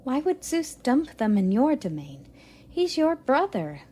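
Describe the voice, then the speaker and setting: A woman playing a curious, playful young goddess in an audio roleplay, sweet and expressive with a slightly haughty streak. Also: high-pitched, measured pace, slightly rough, full character voice. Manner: inquisitive, expressive, cooing at a pet. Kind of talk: romantic audio roleplay addressed to the listener.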